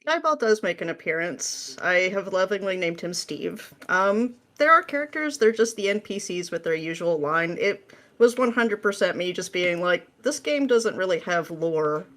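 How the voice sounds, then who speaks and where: A woman being interviewed as a guest, chatting casually in a jokey, animated manner. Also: lowish voice, moderate pace. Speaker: podcast guest, script writer.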